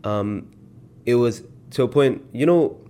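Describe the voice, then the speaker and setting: A young British man, telling stories in a relaxed, slangy way as a podcast guest. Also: medium pitch, measured pace, clean tone. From Britain. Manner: relaxed storytelling with slang and fillers. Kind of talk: casual podcast chat.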